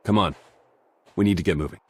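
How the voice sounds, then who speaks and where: An actor playing a gritty, determined secret agent in a video game, husky and earnest. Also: male voice, deep voice, fast talker, a little mellow. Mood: grim resolve.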